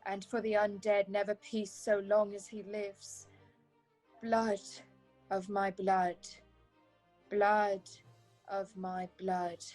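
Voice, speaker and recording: An actress playing a haunted young wife under a vampire's spell in a radio play, measured and trance-like. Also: female voice, mid-range voice, little pitch movement, a little mellow, faint music behind, lightly acted. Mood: entranced.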